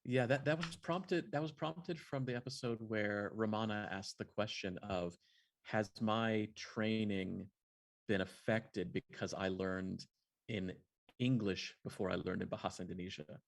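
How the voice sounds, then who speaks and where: Man, gravelly voice, calm, soft-spoken, podcast co-host. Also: on the low side, measured pace. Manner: calm, soft-spoken, frequent short agreement. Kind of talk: casual live chat.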